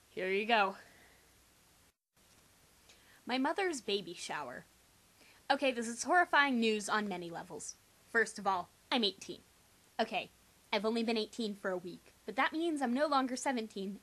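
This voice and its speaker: A woman's voice: a little high, animated, normal speed, a bit bright. A young adult woman giving a sarcastic, theatrical reading of a first-person teen story, with exaggerated emphasis. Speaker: amateur reader.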